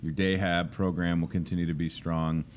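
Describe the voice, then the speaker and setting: A man hosting a local talk show, loud and enthusiastic, speaking in an emphatic, animated way. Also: low voice, normal speed, a little hiss or hum. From Midwestern United States. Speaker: host. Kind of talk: casual interview chat.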